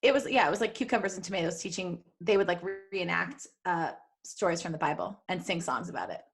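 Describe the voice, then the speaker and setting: A woman's voice: on the low side, fast talker, vocal fry. A woman co-hosting a casual, joking live podcast, chatty and prone to giggling. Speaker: podcast co-host.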